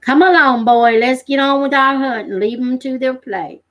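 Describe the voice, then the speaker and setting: A woman's voice: high voice, moderate pace, fairly bright tone. A woman voicing a patient, practical backwoods father and hunter in a storybook, explaining things in homespun rural dialect. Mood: gently firm.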